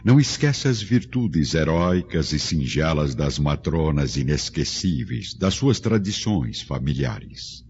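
A man's voice: extremely low pitch, sing-song, a little slow, few pauses, a little mellow, slightly rough. A man narrating a radio drama in a solemn, formal, theatrical manner. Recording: dull lo-fi audio with music behind.

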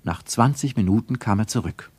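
A man's voice: on the low side, animated, fairly quick, a little mellow, husky, no echo. A man narrating a literary radio play in a dramatic, emphatic manner.

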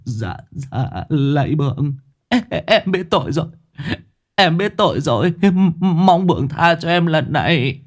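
A man's voice: on the high side, lively intonation, a little slow, pitched above the performer's own voice. A man voicing a terrified young laborer who stammers and pleads for mercy. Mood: pleading.

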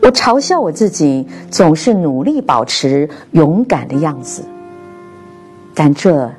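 Low-voiced woman host with animated intonation. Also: takes it slowly. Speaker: radio programme host. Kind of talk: scripted narrated commentary with read-out quotes. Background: soft instrumental music.

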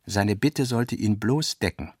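A man's voice: fairly low pitch, expressive, unhurried, slightly rough, no room sound, clean studio sound. A man reading scripted literary narration as the narrator of a radio play, voicing quoted dialogue within the prose.

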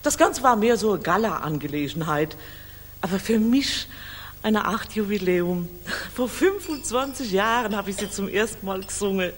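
An actress playing a vivacious middle-aged operetta singer and doting aunt, chatty and familiar, in 1960s radio drama. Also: female voice, medium pitch, animated, normal speed, breathy edge. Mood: chatty, wry. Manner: gushing, familiar, colloquial. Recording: echoing mono radio studio, hiss.

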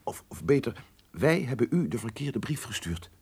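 A man's voice: medium pitch, sing-song, normal speed, a little mellow, slightly rough, full character voice. An actor playing a formal, awkward male business client in a radio play, hesitant and repeating himself. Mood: awkwardly polite.

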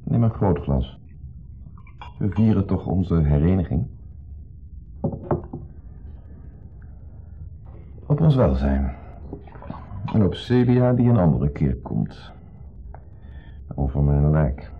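Deep, husky, needling jealous husband. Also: male voice, big pitch swings, slow, pauses often, exaggerated voice. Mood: forced hospitality. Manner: sarcastic, clipped, mock-polite. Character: insecure, jealous husband, needling host masking hostility. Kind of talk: 1970s Dutch radio drama acting. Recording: muffled 1970s radio recording, music behind.

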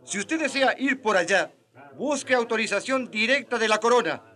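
An actor playing a stern, dismissive bishop in a radio drama, speaking in an authoritarian, scornful manner. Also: male voice, very high voice, expressive, normal speed, bright, forceful tone, full character voice. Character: stern, dismissive male bishop, sceptical of peaceful missions.

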